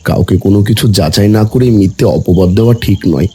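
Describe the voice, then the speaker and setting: A man voicing a calm, fatherly village dad in a cartoon, hesitating with soft "a" sounds. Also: sits low, fast talker, a little hiss or hum, close to an everyday voice. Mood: soothing. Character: gentle, tired village father. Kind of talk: Bengali cartoon voice acting.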